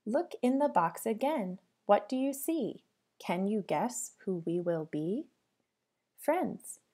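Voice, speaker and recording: Animated woman reading to young children, unhurried. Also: mid-range voice. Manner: sing-song, addressing children with questions and pauses. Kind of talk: interactive storybook read-aloud for young children.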